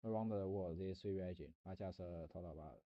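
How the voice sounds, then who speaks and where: A man streaming a rambling philosophical monologue, halting and searching for words, often restarting and repeating himself. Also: low voice, no echo, lo-fi sound.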